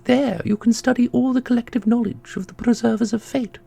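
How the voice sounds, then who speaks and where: A man voicing a solemn, knowing keeper of an ancient magical order in a fantasy audio roleplay, speaking in a formal, measured way. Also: extremely high pitch, fairly even intonation, creaky, warm tone, heavily acted. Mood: solemn, wistful. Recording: music under the voice.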